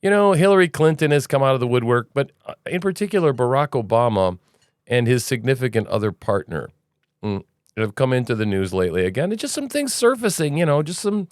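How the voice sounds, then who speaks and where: A man's voice: mid-range voice, sing-song, brisk, no room sound, clean studio sound. A man hosting a casual news commentary podcast, rambling and opinionated, with sarcastic asides. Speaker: podcast host.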